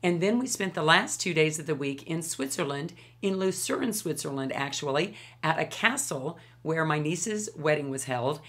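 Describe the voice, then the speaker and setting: A woman in her fifties with a low, hoarse voice from a lingering cold. She talks to the camera in a chatty, enthusiastic way, gesturing with her hands while she shows products in her home studio. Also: lively intonation, moderate pace, hardly any pauses, fairly bright tone. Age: 50s. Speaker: beauty vlogger. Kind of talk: casual product review to camera.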